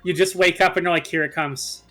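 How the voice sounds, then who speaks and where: Man, high bright voice, casual gaming co-host, exaggerated storytelling, music behind. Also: steady tone, a little fast. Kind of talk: casual chat among friends over a game video.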